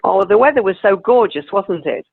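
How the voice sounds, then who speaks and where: A woman's voice: medium pitch, expressive, a little fast, vocal fry, husky. A woman playing a sweet, chatty old lady in a comic radio play, genteel and a little wistful. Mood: chatty, pleased. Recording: tinny narrow-band video call audio.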